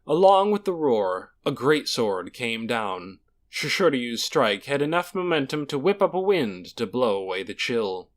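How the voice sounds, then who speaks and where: A man narrating a fantasy audiobook in a dramatic, scripted storytelling style. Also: medium pitch.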